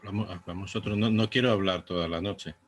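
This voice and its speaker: A man with a Spanish accent, a native Spanish speaker, speaking haltingly as a playwright in a post-show discussion. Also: deep voice, fairly even intonation, slightly rough.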